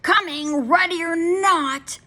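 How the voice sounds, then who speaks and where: Woman reading as a bossy loud farmer guy, barking, kinda breathy. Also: mid-range voice, expressive, a little slow, heavily acted. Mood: blustering. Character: blustering grumpy male farmer.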